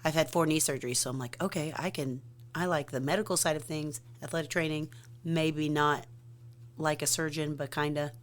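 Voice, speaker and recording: A woman in her thirties, a softball coach, chatting casually and self-deprecatingly in a podcast interview. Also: sits low, steady tone, a little fast. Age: 30s.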